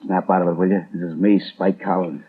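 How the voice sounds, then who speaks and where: A man's voice: sits low, little pitch movement, fast, a little hiss or hum, lightly acted. An actor with an American accent playing a crude, vengeful gangster hitman in a 1930s radio drama, sneering in slangy tough-guy talk. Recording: tinny 1930s radio broadcast.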